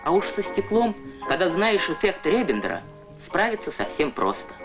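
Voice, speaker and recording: Animated narrator for a kids science newsreel, storytelling style, loud music behind. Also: medium pitch, normal speed. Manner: storytelling, addresses young viewers with questions. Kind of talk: scripted educational documentary narration. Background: prominent music.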